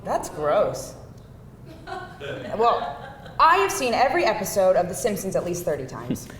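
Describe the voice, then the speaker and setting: A woman's voice: mid-range voice, big pitch swings, unhurried, a bit bright, recorded from a distance. A woman playing a wry, candid, incredulous date in a live screenplay table read. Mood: candid, playful. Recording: echoey live room, faint noise.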